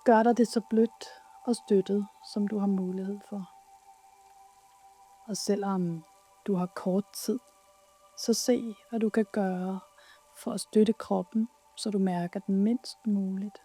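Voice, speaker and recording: A woman leading a guided yoga nidra meditation in a soothing, soft, unhurried way with pauses. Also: mid-range voice, steady tone, soft background music.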